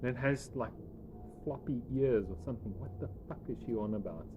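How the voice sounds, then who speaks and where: A South African man narrating a tabletop game as game master, in a halting, conversational way. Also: mid-range voice, dull audio. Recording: noisy room recording.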